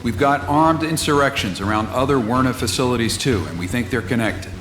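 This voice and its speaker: A man's voice: medium pitch, brisk, close to an everyday voice. A man playing a blunt, money-minded mercenary officer giving a businesslike, clipped military briefing in a video game. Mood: businesslike, stern. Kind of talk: scripted military mission briefing. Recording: music under the voice. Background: background music.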